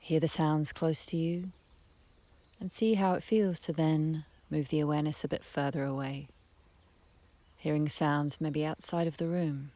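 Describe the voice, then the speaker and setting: A woman leading a guided meditation in a soft, breathy voice, speaking gently with long unhurried pauses. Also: low voice, fairly even intonation. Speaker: guided relaxation teacher. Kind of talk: guided meditation, soothing slow instruction. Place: outdoors beside a harbour. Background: birdsong, trickling stream, breeze.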